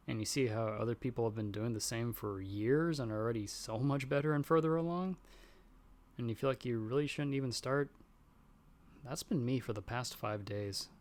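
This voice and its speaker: A man plays a young guy confiding in a close friend in an intimate audio roleplay. He is nervous and unsure of himself, rambling casually in a mid-pitched voice and softening his doubts with self-deprecating jokes. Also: natural voice.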